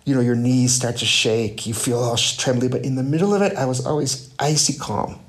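A man, an author, answering interview questions thoughtfully, with frequent "you know" and "right?" and halting restarts. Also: medium pitch. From United States. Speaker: author guest.

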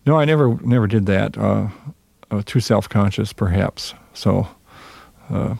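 A low, heavily gravelly man's voice with a Minnesota accent answers interview questions slowly and reservedly, pausing often. The sound is dark and a little husky. It sits dry and close to the mic. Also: no room sound. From Minnesota, USA. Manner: hesitant, reserved, many pauses and fillers. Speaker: jazz musician guest.

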